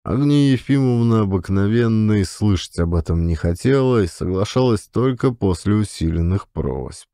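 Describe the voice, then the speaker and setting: A man's voice: lowish voice, lively intonation, normal speed, few pauses, dark tone, no room sound, studio quality. A man reading a scripted literary story as a dramatic audiobook narrator.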